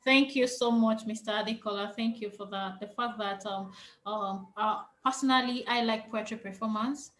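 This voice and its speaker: A woman's voice: fairly high pitch, steady tone, moderate pace, fairly bright tone, echoey room. A young Nigerian woman, a native Yoruba speaker, moderating a panel in a chatty, enthusiastic way. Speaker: panel host.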